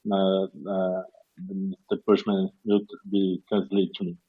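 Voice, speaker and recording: A Brazilian doctor, a man whose first language is Portuguese, speaks haltingly in a video conference and repeats words as he goes. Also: sits low, completely flat delivery, phone-quality sound. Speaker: foreign doctor asking a question.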